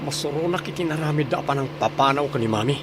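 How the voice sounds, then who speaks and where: A man's voice: a little high, quick pace, close to an everyday voice. A man playing a concerned adult son returning home to his mother in a radio drama. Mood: hurt, concerned. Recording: vehicle noise behind voice.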